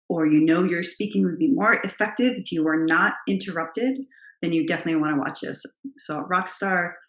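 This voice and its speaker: A middle-aged woman with a naturally low voice speaks in an emphatic, expressive coaching manner, addressing the camera directly. Her pitch is moderate for a woman. The room around her is noticeably echoey. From Italian heritage. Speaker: confidence coach. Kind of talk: direct-to-camera advice vlog. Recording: roomy, echoey room sound.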